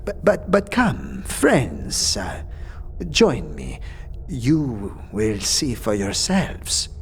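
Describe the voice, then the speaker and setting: Guy playing a calm scholarly death zealot in a fantasy audio drama, slow and measured, swings in pitch. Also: a little hiss or hum, full character voice. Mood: inviting. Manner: measured, formal, deliberate pauses.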